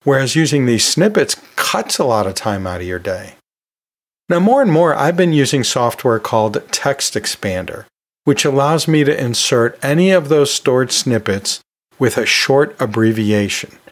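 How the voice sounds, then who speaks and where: A man's voice: medium pitch, lively intonation, normal speed. A man hosting a podcast, talking through teaching tips in a conversational, emphatic way with self-deprecating humor. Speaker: podcast host, educator.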